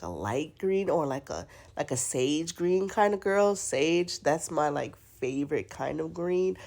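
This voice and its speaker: A young woman talks unhurriedly in a lowish, gravelly voice, stuffed up and congested. She rambles casually with plenty of fillers, like a chatty planner vlogger. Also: darkish tone. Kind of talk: casual voice-over chat.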